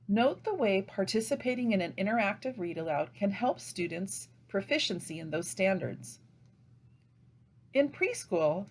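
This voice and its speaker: A woman delivering scripted instructional narration as a teacher trainer, with deliberate, didactic phrasing. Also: mid-range voice.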